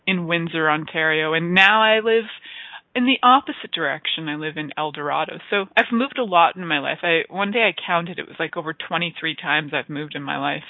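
A woman's voice: deep voice, a little fast, dry recording. A chatty woman from Canada tells stories and laughs often. She comes through a tinny, phone-like line with a dry, close sound. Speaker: guest. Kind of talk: casual interview chat.

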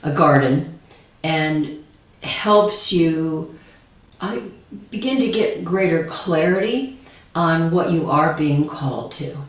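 A woman guest speaker explaining meditations calmly, in a slow, gentle, reflective way. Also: deep voice. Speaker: guest speaker, shamanism teacher. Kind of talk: calm guided explanation. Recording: distant echoey dull video call audio.

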